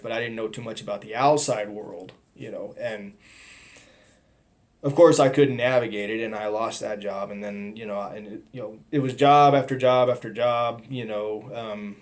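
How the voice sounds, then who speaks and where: A middle-aged man giving a personal testimony, talking in a halting, rambling way with many restarts and fillers. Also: lowish voice. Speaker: testimony speaker.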